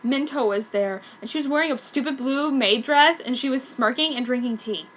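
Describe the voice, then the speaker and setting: High, bright-voiced woman reading fan fiction. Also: normal speed. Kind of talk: dramatic amateur story reading.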